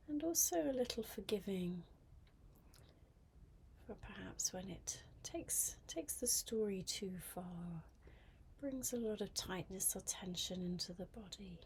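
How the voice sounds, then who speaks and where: A woman guiding a mindfulness meditation in a soft, breathy, half-whispered voice, gently and with long pauses. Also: mid-range voice, lively intonation, a little hiss or hum. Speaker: meditation guide.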